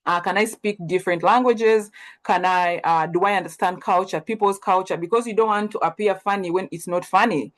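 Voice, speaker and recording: A woman's voice: medium pitch, fairly quick. A young woman from Uganda, giving an earnest webinar presentation full of ums and self-corrections.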